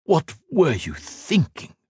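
A gloating, cruel emperor speaks in a raised, grandiose voice, mocking and taunting his captive, as a male audiobook narrator puts him on. His words come loud and lofty, dripping with scorn. The sound is close and dry. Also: medium pitch, sing-song, takes it slowly, dry recording, pitched above the performer's own voice. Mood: scornful.

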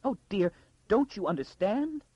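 A frightened lady of high rank, played by a male narrator, pleads urgently in a high-pitched, husky voice. The manner is frantic and wailing, as in a dramatic audiobook. The recording is dry and dull. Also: very animated, measured pace, mellow, dry recording, full character voice. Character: frantic, frightened noblewoman urging a girl to flee.

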